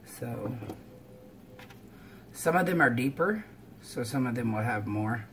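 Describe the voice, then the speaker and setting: A woman explains each step informally, as in a casual cooking vlog. She is recorded at home in a kitchen, with clear background noise of frying and clattering dishes. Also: very deep voice, expressive, slow pace, fairly bright tone. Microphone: camera on counter or at arm's length.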